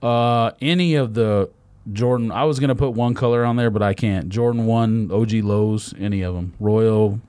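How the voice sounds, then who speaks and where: A man with an American accent and a fairly low voice talks casually in slangy, teasing banter. The sound is dry and close, like relaxed podcast chat between friends. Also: normal speed, smooth, no room sound. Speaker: podcast host. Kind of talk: casual banter among friends about sneakers.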